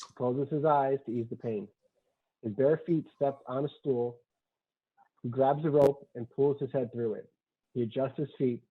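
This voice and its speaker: Guy reading screenplay directions, plain delivery, muffled phone-like audio, middle pitch. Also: steady tone, moderate pace, breathy edge. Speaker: stage-directions narrator. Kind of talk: scripted screenplay narration. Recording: muffled, dull lo-fi sound.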